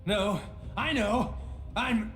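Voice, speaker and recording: A man's voice: high-pitched, very slow. An actor playing an unhinged adventurer who has lost his memory, rambling erratically in a theatrical video game style. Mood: rambling, manic. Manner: erratic, rambling, talking to himself. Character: unhinged, amnesiac male adventurer losing his mind to madness. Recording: echoey, faint music behind.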